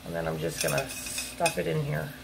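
A woman in her thirties with a strongly gravelly, fry-heavy voice chats casually while she cooks, rambling along with ums and quick apologies. She's recorded on a camera pointed down at her kitchen stove, and a clear sizzle of frying food runs under her talk. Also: deep voice, very animated, noisy background. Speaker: home cooking vlogger. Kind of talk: casual cook-along chat. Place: home kitchen, cooking at the stove. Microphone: fixed camera angled down at the stove. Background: frying sizzle and stirring.

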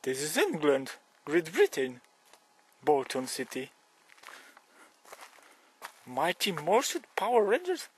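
A man's voice: a little high, huge pitch swings, slight background noise. A young man with a Polish accent, a cyclist speaking broken English while joking in a vlog, outdoors on a country path and filmed with an action camera. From Poland. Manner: playful, broken English, slow and exclaiming. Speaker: cyclist vlogger. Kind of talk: joking phone-call vlog. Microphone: action camera on helmet or bike.

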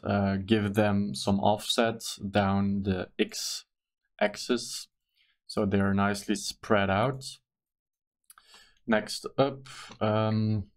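A man with a Belgian accent and a somewhat low voice narrates a screen-recorded tutorial. He is not a native English speaker and explains carefully, pausing with ums and uhs as he clicks through each step. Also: a little mellow. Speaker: tutorial host.

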